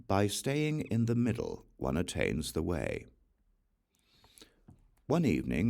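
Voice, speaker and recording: A man narrating a Buddhist scripture as an audiobook, reciting solemnly in short, measured phrases. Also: rumbling low, vocal fry, a little mellow.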